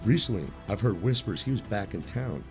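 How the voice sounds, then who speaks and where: An actor playing a world-weary old police sergeant in an audio drama, with brooding, measured delivery over background music. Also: male voice, lowish voice, animated, a bit bright. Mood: somber. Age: older. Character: grizzled, street-hardened old police sergeant, world-weary, days from retiring. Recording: music bed under the voice.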